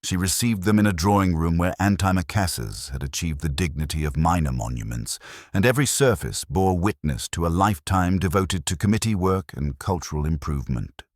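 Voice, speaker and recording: A man giving a measured, formal narration of a detective story in a literary style. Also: sits low, lively intonation, no room sound, studio quality. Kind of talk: scripted detective audiobook narration.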